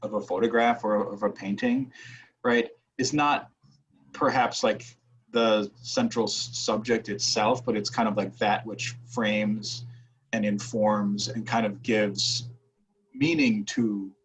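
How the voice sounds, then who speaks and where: An American man from Wisconsin talking thoughtfully on a panel, haltingly searching for his words. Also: lowish voice, steady tone. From Wisconsin, United States. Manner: halting, searching for words, frequent checking "right". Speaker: academic panelist. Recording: dull, muffled audio.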